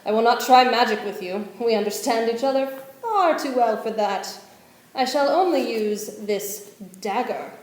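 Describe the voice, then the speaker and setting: A woman's voice: on the high side, lively intonation, measured pace, a little mellow. A woman playing a gloating, menacing old male wizard in a radio play, with a theatrical, declamatory delivery. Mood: gloating menace. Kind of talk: stage-style radio play acting. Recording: echoey room, music behind.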